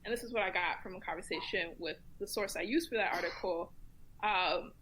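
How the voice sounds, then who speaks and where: A woman speaking as a guest commentator in a casual round-table discussion, thoughtful and measured, with frequent pauses to think. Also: fairly low pitch, a bit bright, husky, rough recording.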